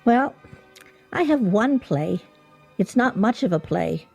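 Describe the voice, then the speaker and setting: Radio play: unassuming, apologetic game player, warm relaxed tone, faint music behind. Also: female voice, medium pitch, slow, vocal fry, husky, heavily acted. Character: apologetic, modest female game-night player. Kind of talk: comedic radio play acting.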